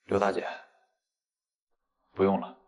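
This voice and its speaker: A man's voice: sits low, completely flat delivery, slow, darkish tone. A man acting out dialogue in an audio drama.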